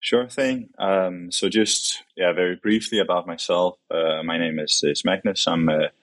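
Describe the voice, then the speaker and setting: A man in his late twenties with a Danish accent, chatting casually and haltingly as a modest interview guest. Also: low voice, fairly even intonation, a bit bright, no room sound. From Denmark, grew up in Copenhagen. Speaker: podcast guest, company vice president.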